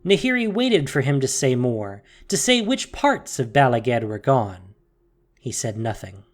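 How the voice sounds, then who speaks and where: A man narrates a scripted fantasy story. His delivery is measured, solemn and dramatic, with music faintly underneath. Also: mid-range voice, wildly swooping pitch.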